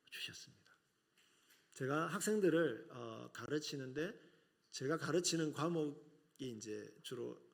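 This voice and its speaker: A man's voice: on the high side, a bit bright. A middle-aged man with a regional Korean accent preaching a sermon to a congregation, earnest, with rhetorical questions. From South Korea, outside Seoul. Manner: earnest, rhetorical questions, frequent filler "yes". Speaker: preacher, seminary professor.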